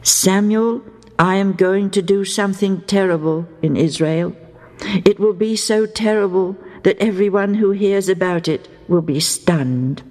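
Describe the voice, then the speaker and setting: Almighty God played by a female storyteller, stern and majestic, lowish, deliberate delivery, Bible drama. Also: a little mellow, long reverb tail, close to an everyday voice. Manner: solemn, deliberate, commanding. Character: majestic, stern male God pronouncing judgment.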